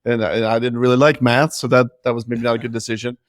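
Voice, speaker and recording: A man's voice: medium pitch, level tone, fast, no echo, clean studio sound. A man in his forties who grew up in Norway, talking candidly and with self-deprecating humor as a guest in an interview. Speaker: guest, business founder. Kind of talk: casual interview.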